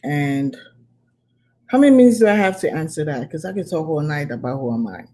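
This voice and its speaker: A woman's voice: deep voice, animated, fairly quick, mellow. A woman from Cameroon, a guest on a talk show, telling her own story in an interview with frequent hesitations. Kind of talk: interview, personal account.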